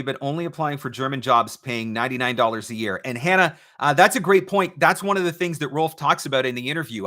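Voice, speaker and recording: A man's voice: medium pitch, sharp tone. A man hosting a casual question-and-answer stream as a coach, talking conversationally and stressing points by repeating phrases. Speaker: host, voice-over marketing coach.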